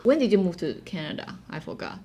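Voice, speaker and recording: A woman's voice: lowish voice, sing-song, quick pace, mellow, breathy edge. A woman with a Taiwanese accent, a native Mandarin speaker, chatting casually and inquisitively as a vlog host. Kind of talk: casual chat.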